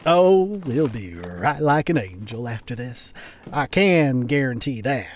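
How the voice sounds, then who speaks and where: A man's voice: medium pitch, lively intonation, darkish tone, a little hiss or hum, lightly acted. Man playing a folksy old drawling Western rogue. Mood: jovial, sly. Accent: American Southern or Western drawl. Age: older. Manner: folksy, teasing, drawn-out delivery. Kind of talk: Western audio drama acting.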